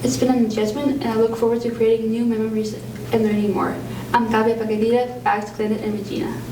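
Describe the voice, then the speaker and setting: A teenage girl reads a prepared report at an even, reflective pace, her voice a little high. She sounds far from the mic in an echoey school room with clear background noise. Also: quick pace. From Denmark. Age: teens. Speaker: student reporter. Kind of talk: read-out feature report. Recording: roomy, distant school recording with noise.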